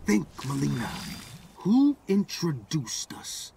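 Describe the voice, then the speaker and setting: A man's voice: a little high, big pitch swings, slow, creaky, noisy background, heavily acted. An actor playing an arrogant, scheming male warrior demigod in a fighting game, imperious and insistent. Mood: defensive, haughty. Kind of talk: fighting game character dialogue. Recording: game audio with background effects.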